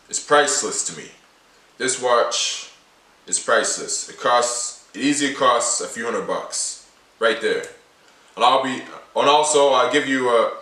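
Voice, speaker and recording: A nineteen-year-old man talks to camera in a casual, rambling way, circling back to the same points again and again. His voice is bright and sits at a middle pitch. He sounds a little distant from the mic, and the small room gives an echoey ring. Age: late teens. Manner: repetitive, rambling, restates points often. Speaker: vlogger showing his everyday carry.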